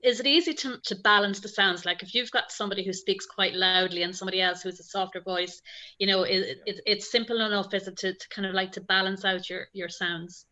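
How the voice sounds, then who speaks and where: A woman asks casual questions in a workshop. She talks quickly with a bright voice, and the recording is a little dull and lo-fi. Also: medium pitch, steady tone. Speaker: workshop participant. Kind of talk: informal questioning. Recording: slightly dull lo-fi sound.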